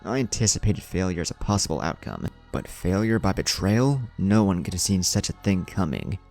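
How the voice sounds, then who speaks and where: A man voicing a bitter, quick-tempered teenage boy mastermind who gives terse commands in an audio story. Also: low voice, expressive, gravelly, darkish tone, soft background music. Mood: cold, calculating.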